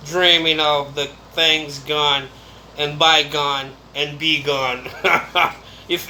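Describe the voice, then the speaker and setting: Slow, bright-voiced Brazilian man. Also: a little high, a little hiss or hum, rough recording. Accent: Brazilian Portuguese. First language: Brazilian Portuguese. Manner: rambling, chatty, with restarts and laughs. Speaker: vlogger. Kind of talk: casual monologue to camera.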